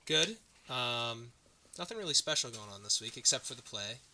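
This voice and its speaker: A man's voice: medium pitch, animated, moderate pace, pushed and bright. A young man, still a student, hosting a casual podcast interview and asking the questions. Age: teens or young adult, a student.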